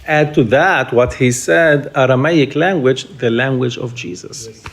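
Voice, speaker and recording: Interviewee, man, 40s, Arabic-accented English, earnest and explanatory, mid-pitched. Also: measured pace. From Jerusalem. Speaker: interviewee, tour guide. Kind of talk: conversational interview answers.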